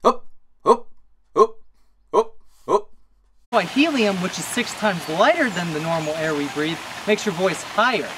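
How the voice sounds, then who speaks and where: A young man with an American accent chatting casually to camera in an excitable, slangy way, as a vlogger. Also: high voice, moderate pace, few pauses. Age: young adult. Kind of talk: casual vlog chat. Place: outdoors in a park by a small waterfall. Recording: clear outdoor background noise, running water.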